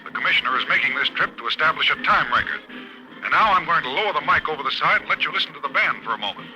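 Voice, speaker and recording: Brisk male radio announcer, bright cutting voice, music in the background. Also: on the high side, animated, lo-fi sound. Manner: brisk announcer delivery, growing alarm. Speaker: radio drama actor. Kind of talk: 1940s radio acting.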